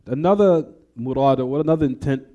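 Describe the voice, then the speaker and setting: A man's voice: medium pitch, brisk, warm tone, highs cut off. A man teaching a class on hadith, explaining in English with recited Arabic and often quizzing the students with "what?" questions. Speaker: Islamic teacher.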